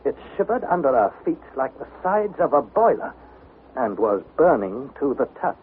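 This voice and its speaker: A man's voice: mid-range voice, sing-song, moderate pace, narrow, tinny audio, lightly acted. An actor playing an earnest, anxious young nephew, precise and dramatic as he narrates and acts in an old radio adventure. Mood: tense, measured. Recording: thin, noisy vintage radio recording.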